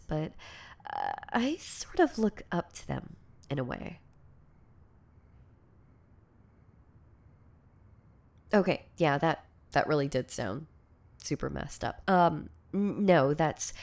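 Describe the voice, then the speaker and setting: A woman playing a shy, insecure, lovestruck girlfriend in an intimate ASMR audio roleplay, speaking in a hesitant, stammering, soft-spoken way. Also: sits low, creaky, full character voice. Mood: awkward, self-conscious. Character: shy, insecure, lovestruck yandere girlfriend confessing obsessive devotion.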